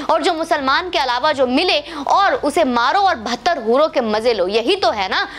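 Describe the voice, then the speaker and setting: Woman, high-pitched voice, political commentator, opinion monologue, combative, sarcastic, rhetorical questions. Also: fairly bright tone.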